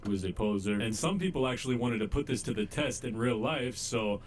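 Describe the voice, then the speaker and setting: Man narrating commentary, wry and profane, mid-pitched, faint hiss behind. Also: animated, a little fast. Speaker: commentary YouTuber. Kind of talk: scripted commentary voice-over.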